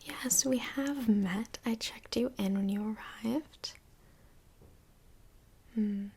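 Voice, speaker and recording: A woman plays a polite, tactful female counselor from Hell in an ASMR roleplay. Her voice is whispery and breathy, with some crackly fry in it. She speaks slowly and professionally, pausing to pick her words. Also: on the high side, dark tone, heavily acted. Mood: calm, tactful. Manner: measured, tactful, professional, hesitant pauses. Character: polite, busy woman filling in as Hell's couples counselor. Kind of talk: soft-spoken ASMR roleplay.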